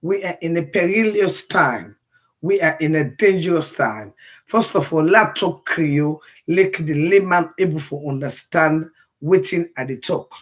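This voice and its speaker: A hoarse woman from Sierra Leone with a Sierra Leonean accent, talking casually on a livestream and repeating phrases for emphasis. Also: deep voice, expressive, a little slow. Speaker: livestream commentator.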